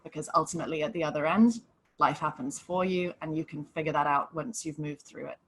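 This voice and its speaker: Earnest woman coach, low gentle voice. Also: fairly quick, breathy edge. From Hong Kong. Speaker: depression coach, panel guest. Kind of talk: live online panel talk.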